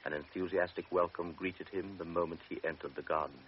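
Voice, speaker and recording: A man narrating a radio drama in a measured, calm way. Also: deep voice. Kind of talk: scripted narration. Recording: dull old radio recording with faint hiss.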